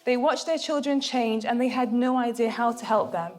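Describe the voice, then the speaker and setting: A woman's voice: fairly high pitch, normal speed, darkish tone, faint music behind. A woman psychologist delivering a rehearsed talk as a conference speaker on a stage.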